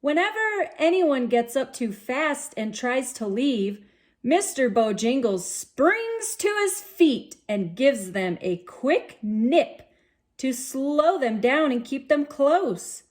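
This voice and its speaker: Woman, high-pitched, slow-paced, expressive, sing-song, read-aloud story for young kids. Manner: expressive, sing-song on refrains, addresses children directly. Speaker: children's storybook reader.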